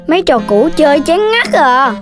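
A voice actor playing a playful young animal child in a cartoon, in a bouncy, eager childlike voice. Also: female voice, high voice, big pitch swings, moderate pace. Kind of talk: cartoon dubbing. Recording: cartoon with music underneath.

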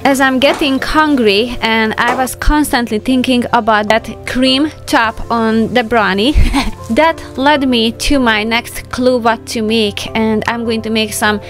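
Higher-voiced woman narrating her homemaking. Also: fairly even intonation, measured pace, over music. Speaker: homemaking vlogger. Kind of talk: voice-over narration of home chores.

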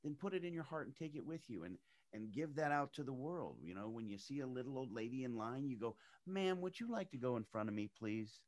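A middle-aged man raised in Arizona, an actor talking casually as an interview guest and acting out the people he quotes with big pitch jumps. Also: animated, fairly quick, darkish tone. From United States, raised in Arizona.

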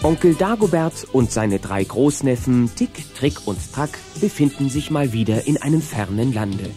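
A man's voice: medium pitch, expressive, moderate pace, warm tone, faint music behind. A man narrating a scripted children's audio drama.

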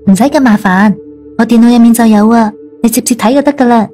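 A woman as a mellow young office assistant. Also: fairly high pitch, fast, faint music behind, close to an everyday voice. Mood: helpful. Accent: Hong Kong Cantonese.

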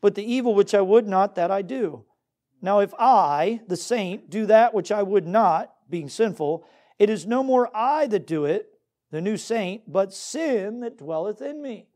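A male pastor delivers a church sermon in a fairly high, mellow voice. He is earnest and exhorting, moving between scripture and direct questions to the congregation. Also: animated, unhurried. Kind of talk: Baptist sermon with scripture reading.

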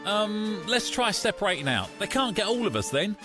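A bumbling male cartoon crook of a truck speaks high and swoopy, nervous and unsure of himself. Loud music sits behind the voice. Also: big pitch swings, moderate pace. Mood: panicky, unsure. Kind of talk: children's cartoon dialogue.

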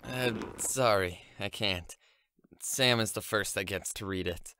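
A man's voice: fairly low pitch, unhurried, pushed and bright, smooth. A man acting a reserved teenage schoolboy in an audio drama, subdued and reflective. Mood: casual. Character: reserved teenage schoolboy who writes stories and keeps his distance.

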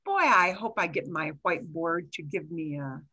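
An older woman in her sixties leads an online Spanish lesson through a headset mic. Her voice is bright and forward, and she moves easily between Spanish and English, explaining step by step with chatty little asides. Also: lowish voice, animated, moderate pace, husky, highs cut off. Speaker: Spanish teacher. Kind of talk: online class teaching. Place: home video call.

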